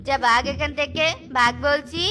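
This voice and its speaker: A woman voicing a gruff, scornful passer-by in a children's cartoon, scolding and dismissive. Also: high voice, fairly even intonation, normal speed, pushed and bright, soft background music. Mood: irritated, scornful.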